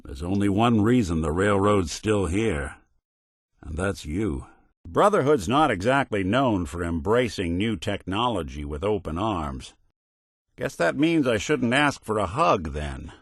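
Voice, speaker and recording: A man's voice: on the low side, sing-song, unhurried, husky, no echo, heavily acted. A man voicing a weary, world-worn but principled android detective in a video game, with dry, sardonic delivery and folksy hard-boiled noir phrasing. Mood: dry, concerned.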